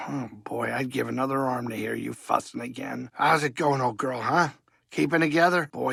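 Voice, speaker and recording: An actor playing a fussy, good-hearted middle-aged alien pilot and ship's cook in a video game, with a gravelly voice and a folksy, fretful, chatty manner. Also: male voice, on the high side, bright, forceful tone, dry recording, full character voice. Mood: wistful, then fond, affectionate. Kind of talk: video game character acting.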